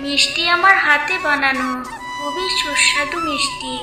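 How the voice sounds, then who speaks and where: High-pitched coaxing village sweet-seller played by a woman. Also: wildly swooping pitch, moderate pace, echoey room, music in the background. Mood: coaxing, syrupy. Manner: coaxing, sing-song calling. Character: sweet-talking village sweet-seller woman hiding a child-snatching menace. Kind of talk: Bengali fairy-tale cartoon dubbing.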